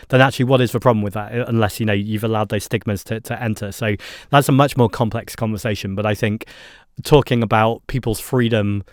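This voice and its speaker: A man with a Mancunian accent talks quickly and fluently, his voice sitting a little low. He sounds animated as he chats on a podcast. The recording is dry and close. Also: no room sound. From Manchester, England. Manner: fast, fluent, animated with laughs and asides. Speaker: guest politician. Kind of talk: casual podcast conversation.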